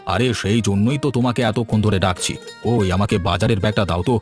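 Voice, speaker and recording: A man voicing a brisk, obliging village man in a cartoon, speaking in an agreeable, conversational way. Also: lowish voice, soft background music, lightly acted. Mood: impatient. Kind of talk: cartoon voice acting.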